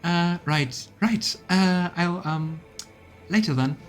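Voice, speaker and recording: A man's voice: high voice, slow pace, voice pushed up. A nervous young rogue with a raised, wavering delivery, acted by a male streamer. He stammers and hedges evasively in a fantasy game, with faint music underneath. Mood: awkward, dejected.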